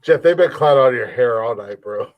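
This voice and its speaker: A man's voice: mid-range voice, animated, brisk. A man with an American accent hosting a casual chat show, blunt and given to swearing. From United States. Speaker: podcast host.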